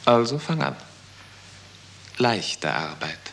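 Gravelly, animated sorting worker. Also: male voice, mid-range voice, takes it slowly, a little hiss or hum, full character voice. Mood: matter-of-fact. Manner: instructing, breezy, matter-of-fact. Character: brisk, cynical male worker sorting confiscated goods. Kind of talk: 1960s German radio play acting.

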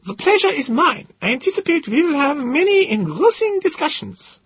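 A man voicing a thin, fragile, eccentric male professor in his thirties with a light German accent, excitable and rambling. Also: very high-pitched, normal speed, no room sound, pitched above the performer's own voice, exaggerated voice. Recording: dull lo-fi recording.

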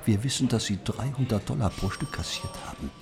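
Sarcastic, needling police interrogator. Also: male voice, fairly low pitch, fairly quick, gravelly. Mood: stern, pressing. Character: sarcastic, impatient male police investigator interrogating a suspect. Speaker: actor in a radio play. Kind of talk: German radio drama dialogue.

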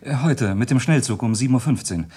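An actor playing a polite but insistent bereaved son in a German radio crime drama, a little hesitant. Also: male voice, mid-range voice, animated, a little fast, warm tone, husky. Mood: subdued, pleading.

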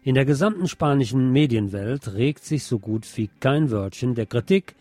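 A man presenting radio news, reading from a script in a measured bulletin style. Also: mid-range voice, animated, darkish tone, dry recording.

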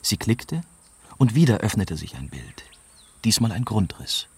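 A man's voice: fairly low pitch, very animated, measured pace, slightly rough, full character voice. A man playing a young journalist who narrates his own adventure in a scripted radio drama. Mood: tense, factual. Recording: music behind the voice.

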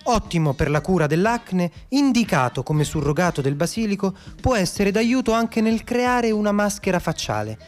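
An actor playing a chirpy wartime radio advertisement announcer, selling soap with brisk salesman's patter in an old-time commercial style. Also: high voice, expressive. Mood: upbeat, selling.

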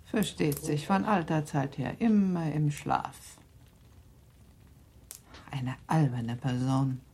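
An actress playing a shrewd, worried middle-aged aristocratic mother in a radio play, talking in a measured, confiding way that sometimes sinks to a whisper. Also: deep voice, warm tone, slight background noise. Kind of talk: 1960s German radio drama acting.

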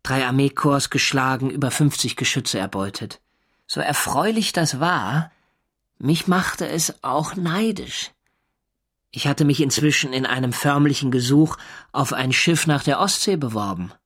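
Measured, wry sailor-diarist. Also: male voice, mid-range voice, lively intonation, fairly bright tone, breathy edge. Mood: measured, wistful. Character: diarist, male navy sailor recounting wartime service. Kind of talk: literary first-person narration in a radio drama.

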